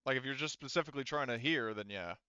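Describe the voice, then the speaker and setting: A man runs a tabletop roleplaying game in a crisp, bright voice. He explains rulings casually and conversationally, hedging as he goes. The sound is close and dry, though slightly dull. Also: medium pitch, fast, no room sound. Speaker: game master.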